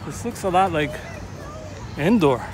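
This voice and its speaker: A man's voice: on the high side, animated, a little slow, background noise. A middle-aged man vlogging a casual walking tour, chatting enthusiastically as he walks, filmed on a handheld camera at arm's length outdoors. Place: outdoor theme park. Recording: outdoor phone video with crowd and music. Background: loud music and crowd.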